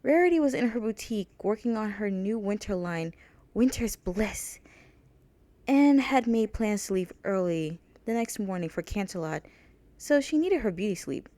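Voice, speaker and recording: A woman's voice: medium pitch, lively intonation, normal speed, dark tone, dry recording. Woman narrating a story, dry sound. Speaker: fan fiction author and narrator.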